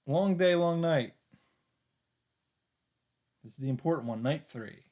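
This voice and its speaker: A man's voice: a little high, lively intonation, measured pace, a little mellow. A man giving casual commentary on a game playthrough, with wry, self-interrupting asides.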